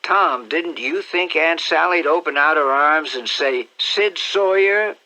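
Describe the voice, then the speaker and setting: A man's voice: on the high side, takes it slowly, bright, forceful tone, voice pushed up, heavily acted, low-quality recording. A man voicing a playful, cocky teenage country boy who talks cheekily. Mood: cheeky.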